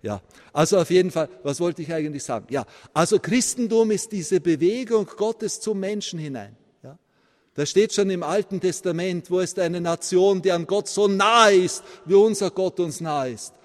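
A Viennese man preaches with animated emphasis, often checking in with his listeners. His voice sits fairly high for a man and rings out in a long church echo. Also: moderate pace. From Vienna, Austria. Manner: animated preaching, rising to emphatic peaks, tag questions like "ja?". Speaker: priest. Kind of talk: sermon.

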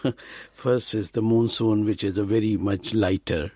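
A man's voice: on the low side, fairly even intonation, a little slow, a little mellow, dry recording. An older man from Punjab with a Pakistani accent, a priest answering interview questions and searching for words.

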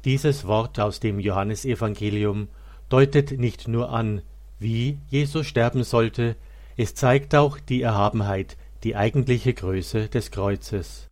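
A man reading a scripted religious biography as narrator in a solemn, measured manner. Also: medium pitch, a little mellow.

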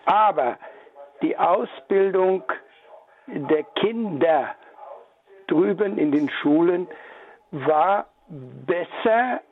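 An older man calling in to a radio show, talking in a halting, rambling way with many restarts, over a tinny phone line. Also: high-pitched, expressive. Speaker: caller. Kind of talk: call-in radio comment.

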